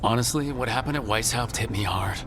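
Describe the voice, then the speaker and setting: A man's voice: mid-range voice, fairly quick, background noise, lightly acted. A man playing an earnest hero in scripted fantasy game dialogue. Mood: troubled, weary. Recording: long reverb, music behind.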